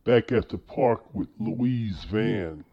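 A man's voice: fairly low pitch, slow pace, breathy edge. A man reading scripted scene-setting narration for an audio drama. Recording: dull lo-fi recording.

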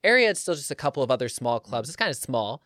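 Upbeat co-host with a dry, close sound. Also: female voice, extremely low pitch, very animated, fast, a bit bright, no echo. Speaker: podcast co-host. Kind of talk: casual chat.

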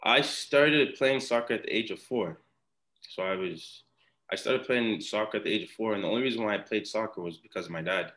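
A young man from Ghana talks easily as a podcast guest, his voice sitting very low. He rambles along loosely, circling back with fillers and restarts as he tells his story. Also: a little fast, a bit bright. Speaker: podcast guest, former soccer player. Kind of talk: casual interview chat.

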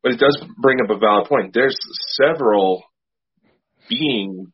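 A man co-hosting a casual podcast, calmly laying out folklore and reports, speaking off the cuff. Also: medium pitch, breathy edge. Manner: measured, explains folklore, unscripted. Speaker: podcast co-host. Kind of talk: casual chat. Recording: dull lo-fi.

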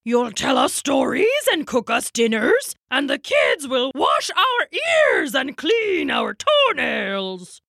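A woman voicing a gloating, cruel goblin leader for a storybook, with a gravelly voice and a mocking, drawn-out delivery. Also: high voice, wildly swooping pitch, sharp tone, no echo, clean studio sound, voice pushed up, heavily acted. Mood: gloating, bossy. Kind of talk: storybook character voice.

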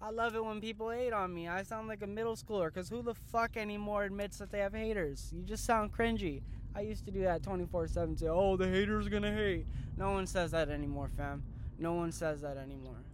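A man's voice: sits high, steady tone, brisk. A young man hosting a casual podcast from a moving car, rambling and joking with plenty of swearing. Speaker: podcast host. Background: faint road noise.

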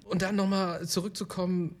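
A man hosting a casual conversation, lively and eager, with quick interjections. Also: high voice.

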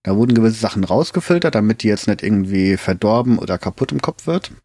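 Low-voiced man explaining calmly, dry sound. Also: fast, dry recording. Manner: relaxed, explaining plainly, laughs easily. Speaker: podcast co-host. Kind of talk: casual podcast chat.

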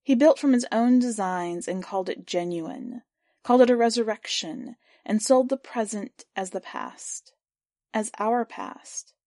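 A woman's voice: a little high, mellow, no echo. Woman reading a literary story, dry close sound. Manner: measured, clear first-person storytelling. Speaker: podcast story reader. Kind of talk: scripted narration of literary fiction.

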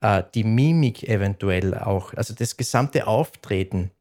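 A man's voice: fairly low pitch, unhurried, darkish tone. A man speaking soberly and at length, with a dialect and frequent restarts. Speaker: streamer. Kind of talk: conversation.

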